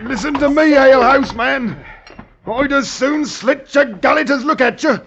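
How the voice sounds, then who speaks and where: An actor playing a belligerent, threatening hothead in an old radio drama. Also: male voice, very high voice, moderate pace. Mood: menacing. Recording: muffled, noisy vintage radio recording.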